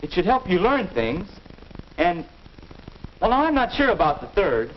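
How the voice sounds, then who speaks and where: A man's voice: sits high, very animated, moderate pace. A young man playing a puzzled, earnest American teenage boy who muses aloud in a 1950 instructional film, on a dull, noisy old soundtrack. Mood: thoughtful. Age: teenager or young adult. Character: restless, puzzled teenage boy looking for a hobby.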